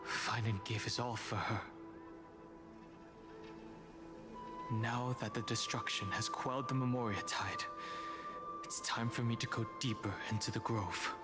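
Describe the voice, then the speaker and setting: An actor playing a calm, guarded warrior in a video game, serious and terse. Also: male voice, mid-range voice, normal speed, natural voice. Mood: somber, resolute. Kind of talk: dramatic video game voice acting. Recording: loud game music behind the voice.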